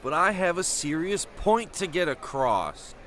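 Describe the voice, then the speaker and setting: A man's voice: a little high, sing-song, measured pace, a bit bright, a little hiss or hum. A man playing a sarcastic, reluctant teenage adventurer in an amateur script read, exasperated and argumentative. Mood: skeptical. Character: grumbling, sarcastic, reluctant male teen adventurer.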